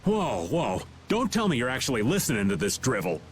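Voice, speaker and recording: A man's voice: medium pitch, wildly swooping pitch, fairly quick, a little hiss or hum. A man voicing a casual, slangy middle-aged rogue in a video game cutscene. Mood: alarmed.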